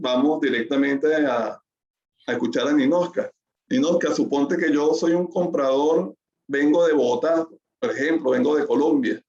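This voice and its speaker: Male webinar host, gravelly, hesitant, echoey dull call audio. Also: fairly high pitch, a little mellow. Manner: hesitant, many fillers and restarts. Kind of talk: webinar introduction.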